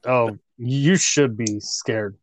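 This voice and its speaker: Slow-talking joking man in his thirties. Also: mid-range voice, no room sound. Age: 30s. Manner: joking, crude teasing, laughs often. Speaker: podcast co-host. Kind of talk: casual banter podcast.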